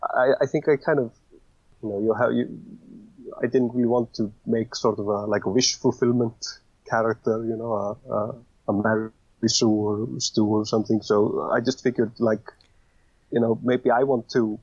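Casting: man, low husky voice, dry close sound, halting podcast guest. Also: fairly even intonation, a little mellow, dry recording, dull audio. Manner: hesitant, many fillers and restarts. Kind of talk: casual interview talk.

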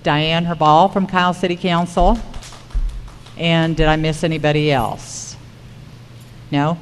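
A woman's voice: sits low, level tone, a little slow, soft background music. A woman moderating a public candidate forum. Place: public forum room at a school. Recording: reverberant hall, noticeable background noise.

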